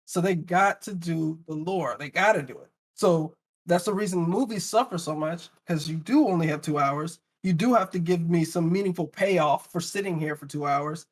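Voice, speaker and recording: Male, slightly high register, casual panel debater, emphatic and argumentative. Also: normal speed, few pauses. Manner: emphatic, argumentative, rhetorical questions. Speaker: podcast panelist.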